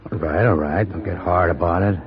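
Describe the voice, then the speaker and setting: An actor playing a sly, hard-drinking, treacherous outlaw with drawling rustic speech in an old-time radio western. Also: male voice, sits low, fairly quick, natural voice. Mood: sly, needling. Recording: muffled old radio recording, background crowd noise.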